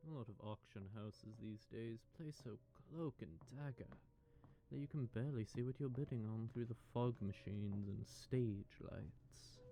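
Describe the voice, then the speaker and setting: A man playing a smug, sardonic vampire who taunts a captive in a teasing, drawling, conversational monologue, with a gravelly voice. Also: mid-range voice, measured pace, mellow, close to an everyday voice. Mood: polished, charming. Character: smug, sardonic male vampire gloating over a captive hunter. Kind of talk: scripted audio roleplay monologue addressed to the listener. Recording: background music under the voice.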